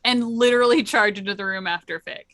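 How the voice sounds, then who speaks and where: A woman's voice: mid-range voice, fast talker, crowd noise. A woman playing a tabletop role-playing game, talking casually about rules and her character's moves.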